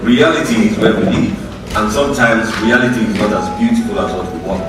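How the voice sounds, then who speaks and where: A man with a Nigerian accent acting a proud, stubborn politician husband in a musical stage play, theatrical and measured. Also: lowish voice, natural voice. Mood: troubled. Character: proud, stubborn, playful politician husband, anxious yet idealistic. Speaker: stage actor and singer. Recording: echoey theatre from far off, music behind.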